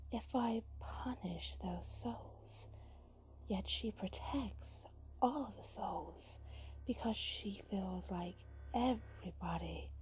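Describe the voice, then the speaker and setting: A woman playing a cunning, vengeful female spirit of darkness in an ASMR roleplay, speaking in a breathy, taunting, deliberate and persuasive way. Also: medium pitch, dark tone, a little hiss or hum, natural voice, low-quality recording. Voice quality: breathy whisper. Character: cunning vengeful female dark spirit, seductive punisher of the guilty.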